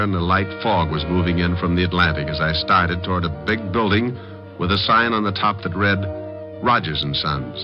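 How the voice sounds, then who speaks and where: An actor playing a tough, wisecracking private detective in an old-time radio drama, telling his case in terse, deliberate hard-boiled style. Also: low voice, close to an everyday voice. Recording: muffled vintage radio recording with music.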